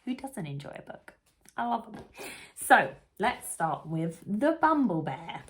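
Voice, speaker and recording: A woman's voice: mid-range voice, very animated, measured pace. A woman talking informally and conversationally as the host of a children's read-aloud channel. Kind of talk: casual chat to camera for parents.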